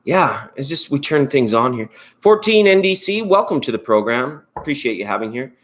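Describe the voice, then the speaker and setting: A man's voice: medium pitch, very animated, moderate pace. A Canadian man hosting a live tarot stream, chatting in a rambling, upbeat way and thanking viewers by name. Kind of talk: casual live-stream chat.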